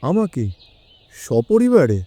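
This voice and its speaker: A man playing an anxious, respectful gentleman in an audio story, speaking in a formal, earnest way. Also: sits high, big pitch swings, slow pace, heavily acted. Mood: alarmed, astonished. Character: astonished, anxious, respectful gentleman seeking help from detectives. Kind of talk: Bengali audio story acting.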